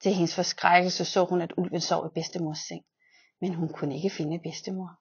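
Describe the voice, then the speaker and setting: A young woman, a children's physiotherapist, reading a fairy tale aloud in a large exercise room, lively voices for the characters. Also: fairly low pitch, husky, lo-fi sound. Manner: lively voices for the wolf and girl.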